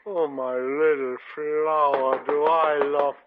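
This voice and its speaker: A man's voice: fairly high pitch, flat delivery, slow, noisy background. A man playing a sappy, easily annoyed foreign bridegroom with a German accent in a vintage radio comedy. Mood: amorous. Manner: gushing, sentimental, inverted phrasing. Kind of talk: 1950 radio situation comedy acting. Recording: dull old radio recording.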